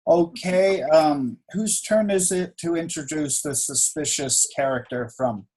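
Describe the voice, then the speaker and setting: A husky-voiced man acts as co-host on a video call. He talks casually and haltingly, teasing as he hands over to the next person. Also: fairly high pitch, a little mellow, poor audio. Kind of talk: casual, joking hosting.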